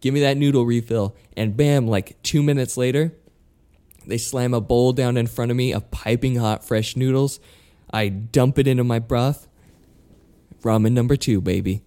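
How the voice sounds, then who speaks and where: A man's voice: medium pitch, fairly even intonation, normal speed, warm tone, smooth. A man talking casually as a podcast co-host, telling anecdotes in an emphatic, informal way with some swearing.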